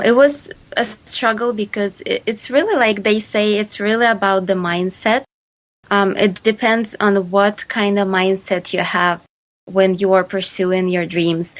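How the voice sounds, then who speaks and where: A woman from Ukraine with a Ukrainian accent, answering interview questions as a podcast guest, with many fillers and restarts. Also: mid-range voice. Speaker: guest entrepreneur.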